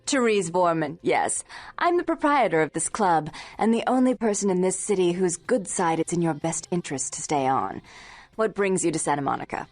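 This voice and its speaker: A haughty vampire nightclub proprietress in a video game. The voice is low, with prim, formal diction and a condescending, controlling manner, over music. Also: female voice, lively intonation, moderate pace, no room sound. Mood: imperious. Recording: music behind.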